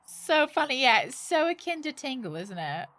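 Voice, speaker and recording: A woman's voice: high-pitched, very animated, normal speed, fairly bright tone. A woman game streamer giving casual, playful live commentary, thinking aloud and exclaiming as she plays.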